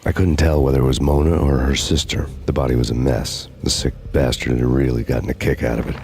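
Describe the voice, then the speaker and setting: An actor playing a grim, world-weary, vengeful hard-boiled narrator in a noir game, brooding and deadpan. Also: male voice, very deep voice, fast talker, gravelly. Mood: somber.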